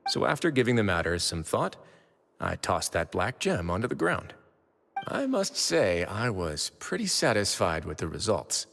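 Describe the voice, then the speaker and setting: A man playing a composed, shrewd prison official in a video game, speaking in a measured, dryly courteous manner. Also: on the low side, big pitch swings, creaky, big-room sound. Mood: self-assured. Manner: measured, unhurried, dry. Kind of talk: video game character acting. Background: game music.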